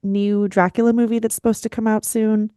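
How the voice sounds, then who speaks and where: A woman's voice: medium pitch, little pitch movement, brisk, mellow, clear, resonant tone, no room sound, clean studio sound. A woman chatting casually on a panel in an informal, slangy way. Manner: informal, slangy, mild swearing. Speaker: podcast panelist. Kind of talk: casual chat.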